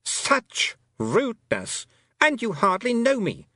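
A man voicing a haughty, cold fairy queen who talks in a silky, condescending, drawn-out way. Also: extremely high pitch, wildly swooping pitch, sharp tone, no room sound, studio quality, voice pushed up, heavily acted.

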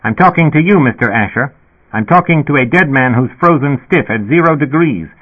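A pushy, irritable police dispatcher on an intercom, acted by a male audiobook narrator. The voice is pitched well up and loud, reasoning impatiently. It sounds thin and narrow, like radio. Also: animated, a little fast, breathy edge. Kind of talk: audiobook character voice.

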